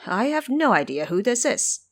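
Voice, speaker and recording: Woman voicing timid earth god, high and animated. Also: moderate pace, dry recording, clean studio sound. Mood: bewildered, fearful. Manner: pleading, flustered. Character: timid, humble minor male earth god, easily frightened.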